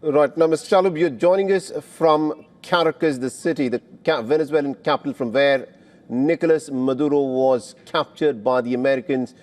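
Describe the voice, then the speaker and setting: A man anchoring live breaking news, reading the background and then interviewing a guest in a measured, clear newsreader delivery. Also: fairly high pitch, steady tone, a little mellow.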